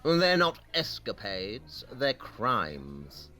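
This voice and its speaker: A man's voice: medium pitch, wildly swooping pitch, very slow, bright, forceful tone, exaggerated voice. An actor playing a brusque, impatient, commanding male detective in an audio drama, curt and precise. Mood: brisk, probing.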